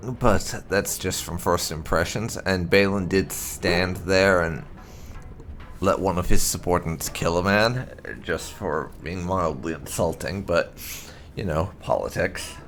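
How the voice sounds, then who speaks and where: A man hosting a gaming video, speaking in a halting, deliberate way with drawn-out emphasis. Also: sits low, a bit bright, slight background noise. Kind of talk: casual let's-play commentary.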